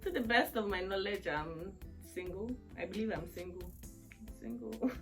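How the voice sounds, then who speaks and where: A woman's voice: medium pitch, normal speed, fairly bright tone, faint music behind. A woman in her twenties chatting through a question-and-answer vlog, rambling and laughing often. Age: 20s. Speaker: vlogger. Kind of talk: casual Q&A chat.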